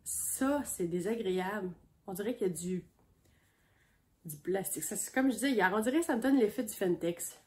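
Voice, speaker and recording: A woman's voice: mid-range voice, expressive, moderate pace. Stuffy Québécoise woman with a cold. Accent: Québécois French. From Quebec. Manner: chatty, meandering, homespun. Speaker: knitting vlogger on a sheep farm. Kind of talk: casual show-and-tell chat.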